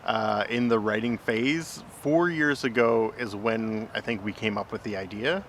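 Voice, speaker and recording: A man's voice: fairly low pitch, measured pace, a bit bright. A man hosting a casual chat interview, asking questions and explaining in an informal way. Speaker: vlog host interviewing his writing partner. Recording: clearly noisy outdoor urban ambience.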